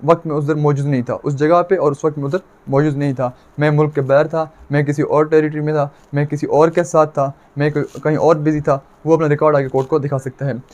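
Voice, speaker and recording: A man's voice: a little high, little pitch movement, fairly quick, few pauses, darkish tone. A man with a Pakistani accent lecturing on law in a didactic way, repeating his points and posing questions that he answers himself. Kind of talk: explanatory exam lecture.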